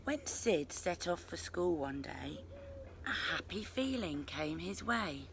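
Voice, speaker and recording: Middle-aged woman, very animated storyteller. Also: lowish voice. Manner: slow, animated delivery with asides to young listeners. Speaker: teacher reading a picture book to a class. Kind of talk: expressive children's storytelling read aloud. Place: outdoors in a leafy garden. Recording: noisy outdoor ambience.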